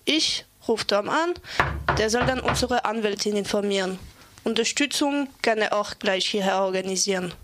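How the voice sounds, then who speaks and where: Woman acting an assertive, level-headed young flatmate. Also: mid-range voice, close to an everyday voice. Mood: calm, resolute. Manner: assertive, brisk, organising. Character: young female flatmate, level-headed and defiant toward police. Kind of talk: amateur radio play acting.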